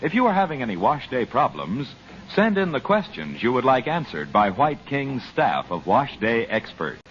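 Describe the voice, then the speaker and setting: A man's voice: mid-range voice, huge pitch swings, moderate pace, a bit bright, a little hiss or hum. A man announcing a sponsor's offer in scripted 1940s radio style. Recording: muffled old radio recording.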